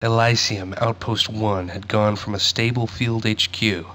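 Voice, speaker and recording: A grave American soldier recounting a campaign in a game cutscene. His voice is low and very even in pitch. The delivery is solemn, measured and restrained. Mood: somber. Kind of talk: scripted dramatic cutscene narration.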